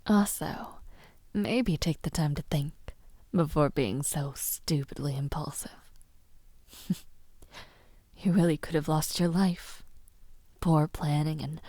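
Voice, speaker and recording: A woman playing a haughty young villainess princess in an audio roleplay, mockingly sweet and menacing, teasing and condescending in a breathy near-whisper. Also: sits low, dry recording, full character voice. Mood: mocking, scolding. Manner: slow, teasing, condescending, with soft taunts. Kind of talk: audio roleplay addressed to the listener.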